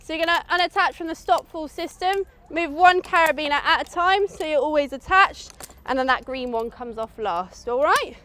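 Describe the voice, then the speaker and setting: A woman's voice: high-pitched, normal speed, fairly bright tone. A woman with a British accent giving an informal, instructive safety briefing to a group, often checking they follow. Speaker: outdoor adventure course safety instructor.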